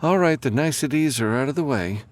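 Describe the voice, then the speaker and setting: A man's voice: medium pitch, expressive, brisk, pitched above the performer's own voice, close to an everyday voice. A man voicing a brash, cocky woman mercenary in an audiobook, breezy, teasing and a little impatient.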